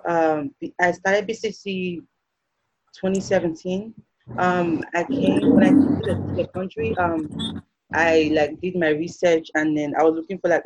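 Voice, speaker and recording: A woman's voice: fairly low pitch, a little mellow. Young woman, Nigerian accent, student guest, casual, hesitant, slightly lo-fi recording. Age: young adult. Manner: hesitant, filler-heavy with frequent "like". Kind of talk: casual podcast interview.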